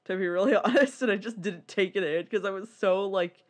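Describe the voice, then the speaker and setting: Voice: medium pitch, a little fast. A podcast co-host chatting casually in a rambling, candid, giggly way.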